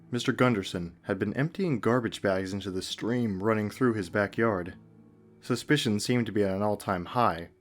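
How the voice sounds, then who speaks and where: A man reading a scripted first-person horror story in a measured way, with dramatic pauses. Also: on the low side. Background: music.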